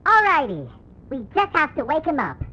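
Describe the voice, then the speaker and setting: A woman's voice: high-pitched, huge pitch swings, normal speed, pushed and bright, lo-fi sound, heavily acted. A woman playing a cheerful, playful and bossy children's show host in an analog horror cartoon, with a sing-song, exaggerated delivery. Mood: playful, curious.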